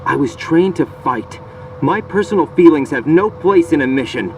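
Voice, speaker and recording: An actor playing a young rookie soldier in a video game, intense, earnest and sceptical, with a terse, questioning way of talking. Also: male voice, fairly high pitch, animated, moderate pace, a little hiss or hum, rough recording. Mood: indignant.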